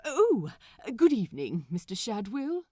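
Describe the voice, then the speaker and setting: A prim, polite gentleman played by a woman narrator in an audiobook. He is anxious and hesitant, with a very animated pitch and pleading manner, recorded dry. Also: a little slow, no echo. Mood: polite, nervous. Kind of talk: fan fiction audiobook character voice.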